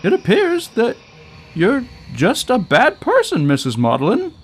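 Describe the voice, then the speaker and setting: A brisk, blunt female official , acted by a man in an audio story. The voice is pushed high and moves around a lot, with soft music underneath. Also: wildly swooping pitch, husky, exaggerated voice. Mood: clinical, blunt. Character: brisk female inspector, rough tutor type, matter-of-fact.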